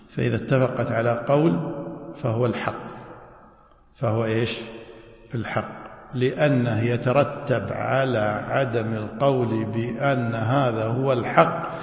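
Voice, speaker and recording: A man's voice: medium pitch, steady tone, normal speed, creaky. Man teaching in an echoey mosque. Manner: quizzes listeners with prompting questions. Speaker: teacher of Islamic jurisprudence. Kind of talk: classroom lecture.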